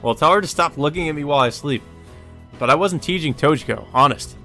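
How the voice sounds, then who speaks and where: A man's voice: fairly high pitch, fast, sharp tone, soft background music, voice pushed up. A man voicing a grumpy young male teacher in a visual novel, dry and exasperated.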